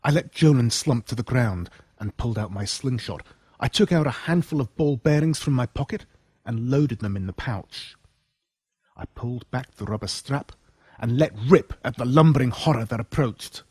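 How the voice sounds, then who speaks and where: Audiobook narrator: Australian man, mid pitch, husky edge, dry close sound, suspenseful horror storytelling. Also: lively intonation, normal speed, a little mellow, no echo. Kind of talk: scripted first-person horror story narration.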